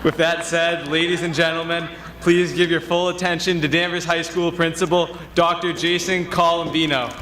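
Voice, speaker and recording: Young male, student officer, formal ceremony introduction, slightly high pitch, strong echo. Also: little pitch movement, moderate pace, few pauses. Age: young adult. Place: large graduation ceremony venue, amplified speech. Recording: echoey public address with faint noise.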